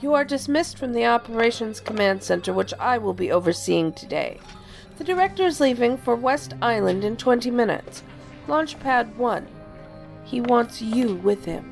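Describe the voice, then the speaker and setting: A woman's voice: a little high, lively intonation, normal speed, gravelly, faint music behind. A woman playing a stern, cold, commanding deputy director in a science fiction audio drama. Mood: icy, cutting. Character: stern, imperious female deputy director of a federal agency.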